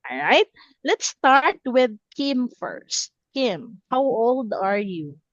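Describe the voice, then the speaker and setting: A woman's voice: a little high, big pitch swings, slow, no room sound, lo-fi sound. A woman in her thirties teaching a simple English lesson, encouraging, repeating words and checking understanding. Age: 30s.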